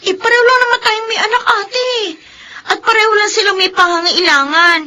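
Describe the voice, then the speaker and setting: A young Filipino mom in a radio drama, high and sharp over some noise. She is polite with her parents, pleading, and ready to bicker with her sister. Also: fairly even intonation, a little fast. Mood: defensive.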